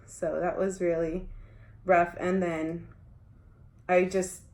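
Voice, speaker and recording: A woman's voice: fairly low pitch, flat delivery, takes it slowly, darkish tone. A young adult woman talking casually about her school years, often using "like". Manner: conversational, frequent filler "like". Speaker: interviewee. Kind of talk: casual conversation. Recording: echoey room.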